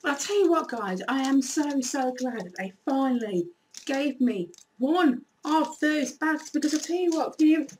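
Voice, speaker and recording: Woman, 40s, croaky voice, animated rambling vlog chat in a small room, webcam a bit distant. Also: high-pitched, measured pace, slight background noise. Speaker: YouTuber. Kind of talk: casual shopping haul vlog.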